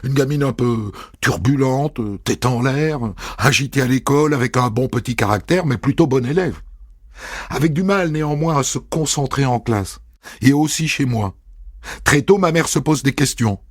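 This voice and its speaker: A man telling a first-person story as a narrator, in a measured, intimate reading. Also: mid-range voice, slightly rough, no echo. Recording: dry studio voice over soft music.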